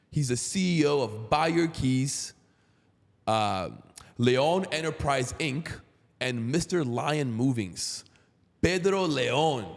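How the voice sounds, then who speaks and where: A man in his thirties from Brazil who grew up in Queens, hosting a casual podcast interview. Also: medium pitch. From Brazil, moved to Queens, New York at seven. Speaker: podcast host. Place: indoor podcast studio. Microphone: close boom-arm studio microphone. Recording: roomy studio with long reverb.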